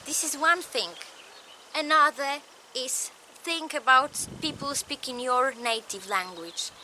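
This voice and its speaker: A woman with a Polish accent, a native Polish speaker, giving a recorded lecture in slow, measured, short phrases. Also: sits high, pushed and bright, a little hiss or hum.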